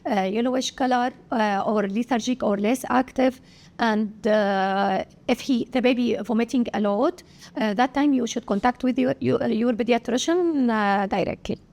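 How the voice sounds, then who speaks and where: A woman from Syria with an Arabic accent, a pediatrician answering interview questions haltingly, with many pauses and filler sounds. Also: a little high, a little mellow. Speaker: pediatrician guest.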